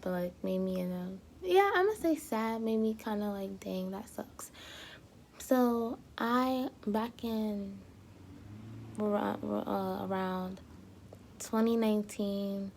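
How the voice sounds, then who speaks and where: A young woman vlogging casually to her viewers, a little hoarse as she loses her voice, rambling with lots of fillers and restarts. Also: medium pitch, warm tone, a little hiss or hum. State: slightly hoarse, losing her voice. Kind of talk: casual talk to camera.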